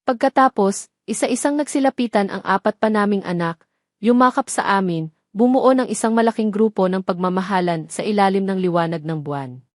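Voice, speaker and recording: Female narrator, Filipino accent, medium pitch, for sentimental scripted romance audio stories, recorded very dry. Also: a little fast, no echo.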